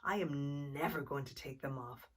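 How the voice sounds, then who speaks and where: A woman's voice: rumbling low, normal speed. A woman voicing a determined, exuberant little storybook girl with an emphatic, chanting delivery. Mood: gleeful, stubborn.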